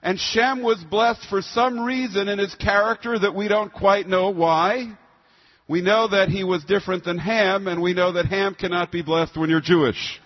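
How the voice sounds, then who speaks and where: High-voiced male preacher in a reverberant hall. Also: fairly even intonation, moderate pace. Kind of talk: sermon with scripture readings. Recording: muffled sound in a long-reverb hall.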